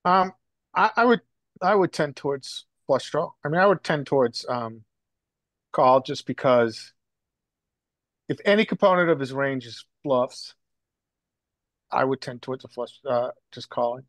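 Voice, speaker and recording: A man's voice: mid-range voice, lively intonation, measured pace, long pauses, no echo. A man in a poker study group answering the coach, reasoning step by step on a video call.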